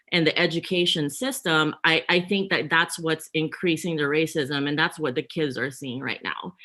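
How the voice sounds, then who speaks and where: Woman guest, bright and forceful tone, thoughtful, full of fillers. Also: sits low. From Philippines. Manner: thoughtful, many fillers and restarts. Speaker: guest author. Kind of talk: interview answer.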